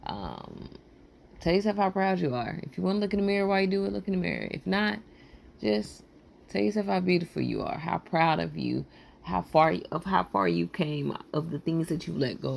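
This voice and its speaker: Hoarse, gravelly-voiced woman podcast host. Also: fairly low pitch, a little mellow, slight background noise. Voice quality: raspy, froggy voice. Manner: loose, rambling encouragement with casual slang. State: hoarse and sleep-deprived. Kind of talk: motivational podcast monologue.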